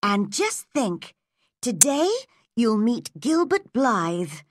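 A woman's voice: fairly high pitch, big pitch swings, slow pace, slight background noise, pitched above the performer's own voice. A woman voicing an eager, chatty young schoolgirl, a loyal friend in a storybook, with a gossipy manner.

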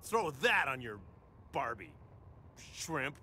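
A cocky American action star in a fighting game. He is brash and wisecracking, with a forceful, bouncy delivery over faint music. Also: on the high side, sing-song, slow pace, exaggerated voice. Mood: smug bravado.

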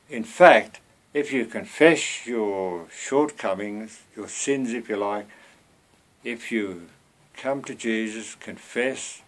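Low-voiced elderly man preaching informally. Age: 70s. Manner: earnest, conversational, with rhetorical tag questions. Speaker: lay preacher. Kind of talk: informal sermon to camera. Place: small cluttered room at home.

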